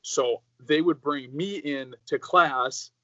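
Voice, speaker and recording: A man's voice: fairly high pitch, slow, no echo, lo-fi sound. A young American man raised speaking Croatian, telling stories in a rambling casual way full of "like" fillers. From United States. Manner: rambling, many "like" fillers, animated storytelling. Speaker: guest. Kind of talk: casual interview chat.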